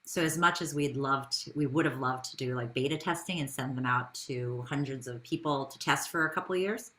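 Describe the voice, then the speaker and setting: Woman, low-pitched voice, conversational interview guest, business founder, storytelling with rhetorical questions. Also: fairly quick, fairly bright tone.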